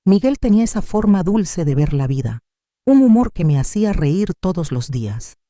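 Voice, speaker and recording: Very dark-toned, dry-sounding Spanish-language narrator. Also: medium pitch, sing-song, moderate pace, no room sound. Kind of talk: scripted first-person story narration.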